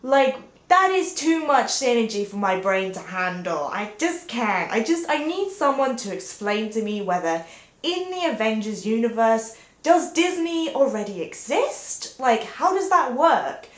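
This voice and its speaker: A woman's voice: on the high side, very animated, measured pace. A young woman vlogging from home, chatting casually with lots of "like"s and playful outbursts, sounding stuffed up from a sinus infection. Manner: rambling, peppered with "like", playful exclamations. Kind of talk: casual chatty video talk. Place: indoors at home. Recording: roomy, echoey home recording.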